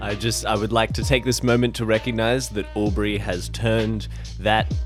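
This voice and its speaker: A man's voice: lowish voice, level tone, moderate pace, music in the background. A man making casual side comments as a player at an improvised roleplaying game. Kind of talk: casual table banter.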